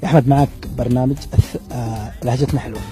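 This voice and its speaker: A man's voice: medium pitch, fairly even intonation, rapid-fire, warm tone, soft background music. A man with a Yemeni accent hosting a street quiz, coaxing people with teasing and laughter. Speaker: street interviewer, show host.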